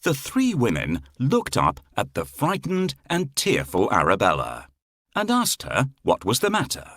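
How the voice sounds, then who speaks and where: A man narrating a children's fairy tale in an expressive, theatrical, deliberate way. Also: medium pitch, no room sound, clean studio sound. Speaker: storyteller.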